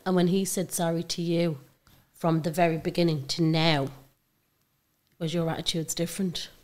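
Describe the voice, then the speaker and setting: Woman podcast host, lower voice, short gentle questions, lots of quiet mm's. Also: level tone, moderate pace. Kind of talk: interviewing.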